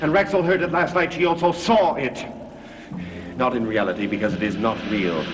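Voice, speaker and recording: An actor playing a commanding, troubled master of a gothic mansion in a soap opera, stern, urgent and theatrical. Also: male voice, mid-range voice, fairly even intonation, normal speed, recorded from a distance, a little hiss or hum, close to an everyday voice. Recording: off-mic, music behind.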